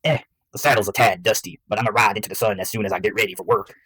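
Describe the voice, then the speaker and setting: A man voicing a gruff, boisterous old Texan cowboy father in an audiobook, with a growling, folksy, teasing delivery. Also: fairly low pitch, fairly even intonation, rapid-fire, fairly bright tone, no room sound. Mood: cheerful, flirty.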